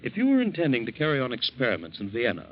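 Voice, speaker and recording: A man's voice: mid-range voice, wildly swooping pitch, racing. A man acting a suave, coolly sardonic French police inspector probing a suspect in an old-time radio drama, on a dull vintage recording. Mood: sardonic, accusing.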